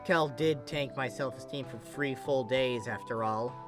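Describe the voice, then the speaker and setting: An expressive reader narrates a scripted story in a middle-pitched voice. Music plays clearly behind the words throughout. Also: a little slow. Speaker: fan fiction reader.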